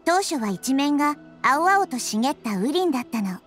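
A woman voicing a wise, gentle, childlike nature goddess in an anime game, explaining things with composure. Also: sits high, very animated, normal speed, soft background music. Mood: calm explaining. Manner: composed, explanatory, feminine phrasing. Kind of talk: Japanese anime game voice acting.

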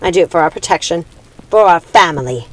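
A woman's voice: on the low side, lively intonation, a little fast, poor audio. A woman voicing a cruel, proud witch queen mother in a commanding, theatrical manner for a storybook. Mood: scornful, stern.

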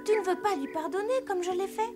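Frail shy little girl, super high voice, hesitant, cartoon dub with music. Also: quick pace. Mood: gentle pleading. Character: frail, timid, gentle wheelchair-bound young girl. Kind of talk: French animated film dub. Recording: music behind the voice.

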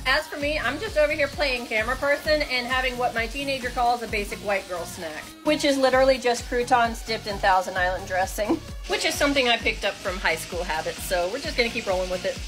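Woman, 30s, from the American South, teasing and chatty as she films a home kitchen on her phone; high voice, music under it. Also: a little fast, hardly any pauses. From Kentucky and Tennessee. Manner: playful, teasing, talks to viewers. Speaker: vlogger filming the cooking. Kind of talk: casual chatty home vlog. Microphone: phone held at arm's length. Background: music and frying sizzle.

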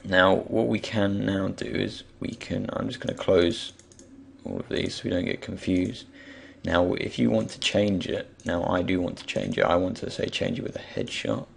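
A man with a croaky, just-woken voice gives an unscripted software tutorial, hesitantly thinking aloud as he goes. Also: low voice, expressive, moderate pace. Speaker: tutorial presenter. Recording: faint background noise, keyboard and mouse clicks.

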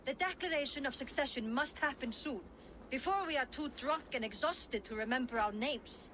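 An actress playing a forthright Viking villager in a video game, speaking in a formal, insistent way. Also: female voice, high-pitched, normal speed, fairly bright tone. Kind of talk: dramatic video game acting. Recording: clear ambient noise behind.

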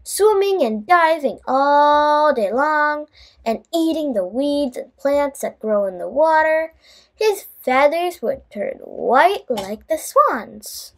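A woman reading a children's bedtime story aloud in an expressive, deliberate way, as the host addressing young listeners. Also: high voice.

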